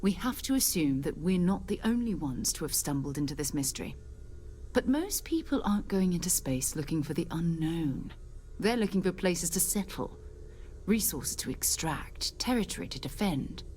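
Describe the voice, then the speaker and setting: An actress playing a seasoned, principled space explorer captain in a video game, speaking in a measured, earnest, authoritative way. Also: female voice, on the low side, very animated, darkish tone, slight background noise. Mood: earnest, intrigued. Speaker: expedition leader. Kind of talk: video game character dialogue.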